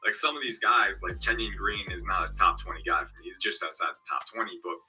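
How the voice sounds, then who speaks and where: A man's voice: low voice, fast talker, sharp tone, faint music behind, poor audio. A man speaking as a guest analyst in a casual chat, confident and analytical, his throat a bit parched. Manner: confident, analytical, explains in long runs.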